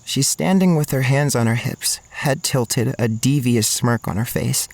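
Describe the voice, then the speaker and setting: A man's voice: medium pitch, measured pace, creaky, a little mellow, dry recording. An actor playing an awkward, anxious young college man narrating an audio drama in first person, with music behind him. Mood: anxious, watchful. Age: young adult. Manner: hesitant, stammering in dialogue. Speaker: narrator and main character.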